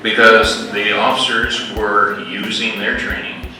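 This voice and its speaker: A man giving a halting, deliberate public briefing as an official presenting case facts. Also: fairly low pitch, little pitch movement, over music. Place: community center meeting room, far from camera mic. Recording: echoey hall, distant mic, background noise.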